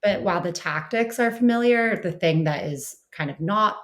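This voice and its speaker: A woman's voice: mid-range voice, animated, normal speed, fairly bright tone. A woman speaking as an interviewed expert, explaining conversationally with frequent "you know" and "kind of". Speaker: interviewed researcher and writer.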